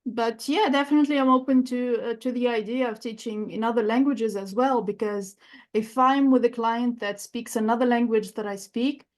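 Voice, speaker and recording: Higher-pitched woman, native Arabic speaker. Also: fairly even intonation, normal speed, a little mellow. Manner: reflective, searching for words with fillers. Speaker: guest coach. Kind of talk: podcast interview.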